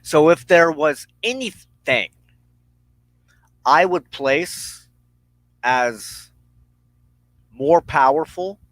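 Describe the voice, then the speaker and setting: Man, slow pace, halting deliberate phrasing, emphatic, spiritual talk host, close dry sound. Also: a little high, a bit bright, no echo, rough recording. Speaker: host of a weekly witchcraft broadcast. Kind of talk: personal spiritual talk to camera.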